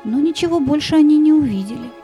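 A woman's voice: high-pitched, fast. A woman narrating a scripted fairy-tale audio play as a storyteller, with music behind her.

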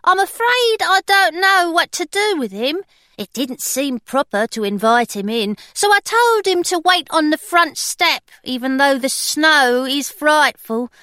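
A woman's voice: high voice, expressive, a little slow, no echo, voice pushed up, exaggerated voice. A woman voicing a timid, polite parlour maid. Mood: fretful. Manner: hushed and polite. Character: timid young parlour maid, proper and fretful.

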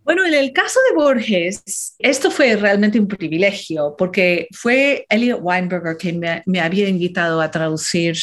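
A woman's voice: fairly low pitch, normal speed, hardly any pauses. A woman translator and scholar who grew up in the Philippines and California, answering interview questions reflectively with frequent restarts.

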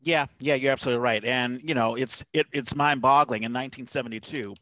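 A man's voice: mid-range voice, steady tone, fast talker, fairly bright tone, dry recording. A man hosting a talk podcast, speaking earnestly in casual conversation and often repeating words as he starts. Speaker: podcast host.

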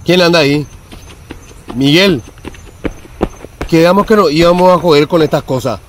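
A man's voice: on the high side, normal speed, lightly acted. An actor playing a male cemetery night watchman in an amateur radio drama. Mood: alarmed, challenging. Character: Agustín, male cemetery night watchman, nonchalant, then frightened. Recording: noisy track with night insect ambience.

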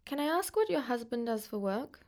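A woman's voice: on the high side, very animated, brisk, a little mellow. A woman with an Australian accent playing a concerned, polite but insistent school counsellor in a radio drama. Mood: careful, concerned.

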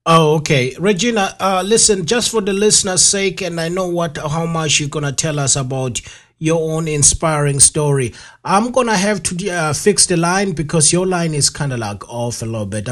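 A man's voice: fairly high pitch, moderate pace. A man hosting a live radio interview, rambling with many fillers and repeating himself. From South Africa. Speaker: radio show host.

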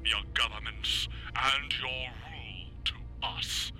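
A man playing a menacing villain warlord in a sci-fi audio drama, speaking in a grandiose, deliberate manner. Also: mid-range voice, sharp tone, faint music behind. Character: menacing male villain warlord delivering a threatening ultimatum.

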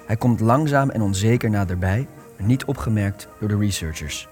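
Male narrator for audio drama stage directions: lower register, measured, light music bed. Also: darkish tone. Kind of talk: scripted narration for radio play.

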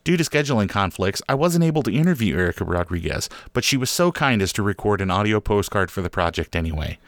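A man's voice: on the low side, expressive, fast talker, no echo, clean studio sound. A man presenting podcast segments with scripted introductions and sign-offs.

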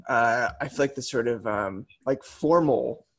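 Laid-back husky man on a call. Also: mid-range voice, measured pace, fairly bright tone. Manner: laid-back, filler-heavy. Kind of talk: casual group chat. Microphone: video call.

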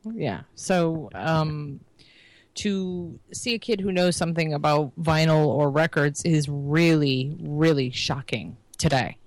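A woman in her forties chatting casually, thinking out loud and explaining her views. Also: low voice, slow, dry recording. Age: 40s. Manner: musing, explanatory. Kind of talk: casual chat.

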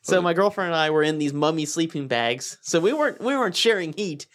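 A man's voice: fairly high pitch, a little fast, slight background noise. A young adult man co-hosting a podcast, telling a story casually with laughs and profanity. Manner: animated, laughing, profane anecdotes.

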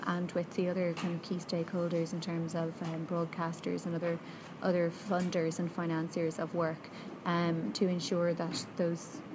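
A woman giving an interview answer about funding policy, measured and halting with frequent fillers. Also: fairly low pitch. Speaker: interviewee, industry advocate. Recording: noisy background.

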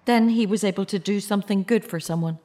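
A woman acting a bereaved, searching mother in an audio drama, naturalistic and halting, with anxious care. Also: mid-range voice, dark tone, close to an everyday voice. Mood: reflective, forgiving.

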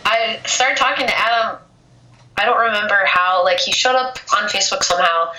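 A woman's voice: mid-range voice, normal speed, sharp tone. A young woman poet with a cold, chatting casually in an interview and filling her speech with "like". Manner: casual, lots of filler "like". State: has a cold, losing her voice. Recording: echoey room, faint noise.

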